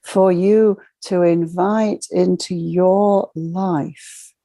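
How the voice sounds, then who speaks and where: A woman leading a channeled guided meditation, speaking as a solemn spiritual guide in measured, ceremonial short phrases. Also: on the low side, steady tone, mellow, dry recording.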